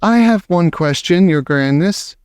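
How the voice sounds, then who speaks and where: A man's voice: high-pitched, lively intonation, a little slow, a little mellow, clear, resonant tone, no room sound, studio quality, voice pushed up. A man reading an audiobook, voicing a deferential female witch asking a question.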